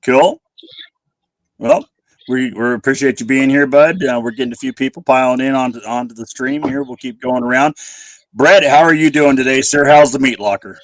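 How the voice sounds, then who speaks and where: A man's voice: medium pitch, normal speed, dry recording. Casual male host, scratchy with a cold. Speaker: host of a panel livestream. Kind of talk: casual chat, hosting.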